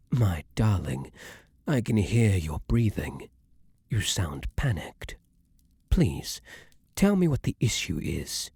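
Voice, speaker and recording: A man playing an imperious, lovesick vampire king in an intimate ASMR fantasy roleplay, speaking formally and theatrically with breathy, dramatic pauses. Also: low-pitched, a little mellow, no echo, clean studio sound, heavily acted. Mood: anxious pleading. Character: ardent, imperious male vampire king, lovesick then menacing.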